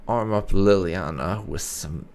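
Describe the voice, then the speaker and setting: A man doing casual playthrough commentary as a gaming host, musing aloud in an unhurried way as he plays. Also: low-pitched, a little mellow.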